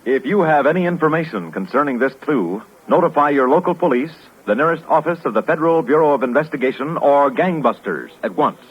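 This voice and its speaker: A man's voice: on the high side, animated, brisk, hardly any pauses. An American man announcing formally and emphatically from a script in the style of 1950s network radio. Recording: muffled vintage radio broadcast recording.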